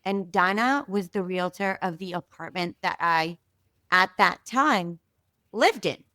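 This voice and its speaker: A woman from Israel tells a story as a podcast guest, animated and chatty, with plenty of asides. Her voice is smooth and clear, recorded close and dry. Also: on the low side, a little slow, dry recording. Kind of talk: casual storytelling.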